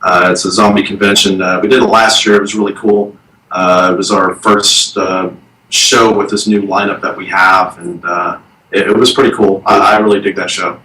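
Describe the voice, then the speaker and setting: A man in his thirties speaks as a band guest, slow and hesitant, full of fillers. His voice is low-pitched and sounds far from the mic in an echoey room, with faint noise around it. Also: flat delivery, sharp tone. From Texas. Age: 30s. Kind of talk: casual interview chat.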